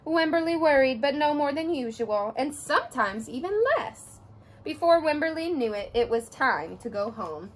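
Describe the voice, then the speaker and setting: Animated young woman reading to kids. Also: high-pitched, moderate pace. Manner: expressive, animated storytelling. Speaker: storyteller. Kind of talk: children's picture book read-aloud.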